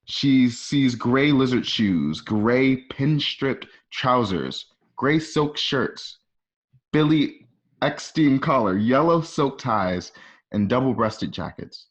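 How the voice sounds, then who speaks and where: A man's voice: medium pitch, animated, slow pace. A man reading out stage directions as the narrator of a radio play, in present-tense screenplay style. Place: at home. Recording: slightly dull home recording.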